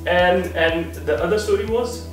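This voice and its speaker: A man's voice: high voice, moderate pace, faint music behind. A young man from Pakistan, telling funny stories to friends in a casual vlog, laughing often and restarting his sentences. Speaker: vlog host. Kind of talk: casual storytelling among friends. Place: living room in a flat, indoors. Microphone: far camera. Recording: very roomy, from a far-off camera mic.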